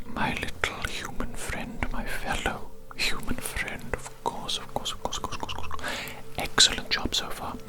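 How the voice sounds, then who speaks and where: An ASMR performer voicing an oddly formal alien doctor posing as a human, in a breathy whisper with polite, soothing, repetitive patter. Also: natural voice, poor audio. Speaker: ASMR performer playing an audiologist. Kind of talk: ASMR medical roleplay.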